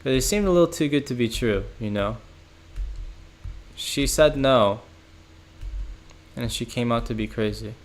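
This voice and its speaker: A man's voice: medium pitch, a little slow, pauses often. A young man streaming from home, chatting casually and rambling, full of "like" and "bro" and frequent laughs. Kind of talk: casual livestream chat reacting to chat.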